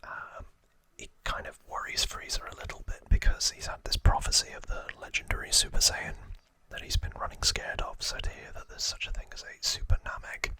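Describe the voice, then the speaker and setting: A man whispering an ASMR trivia recap in a breathy voice, informal and a little hesitant, as if recalling it from memory. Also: fairly quick, hardly any pauses, bright, forceful tone. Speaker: ASMR host.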